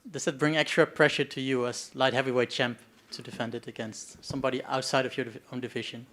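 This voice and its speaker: Mid-pitched man questioning fighters. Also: flat delivery, quick pace, a bit bright. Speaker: press conference moderator or questioner. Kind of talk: press conference questions.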